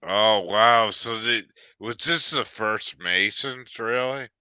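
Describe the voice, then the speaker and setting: A man in his fifties rambles through a casual chat, stumbling over restarts and fillers, then bursting out now and then. His voice is deep yet bright and crisp, and his talk comes slowly. The sound is dry and close. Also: no room sound.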